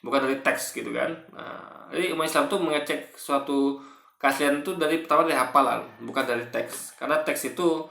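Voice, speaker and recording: A man giving casual spoken commentary in a halting, repetitive way, often correcting himself. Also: a little high, creaky, sharp tone. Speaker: video commentator. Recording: echoey room.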